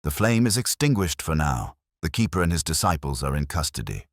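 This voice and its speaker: A man voicing a grave, brooding, cerebral detective in a storybook reading, speaking in measured, solemn aphorisms. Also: rumbling low, sing-song, no echo, clean studio sound, full character voice. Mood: calm, weighty.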